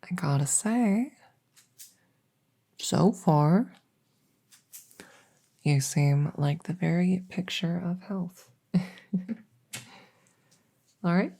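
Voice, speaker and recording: A woman playing a friendly, goofy witch vet in a soft ASMR roleplay, gently chatty and reassuring with halting, teasing delivery. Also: fairly low pitch, exaggerated voice. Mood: gentle, attentive. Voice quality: soft, breathy, often whispering, with creak.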